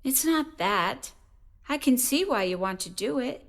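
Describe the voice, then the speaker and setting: A woman's voice: on the high side, expressive, a little slow. A woman playing a patient, cautious middle-aged mother of a grown son in an audio drama. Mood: hesitant, concerned.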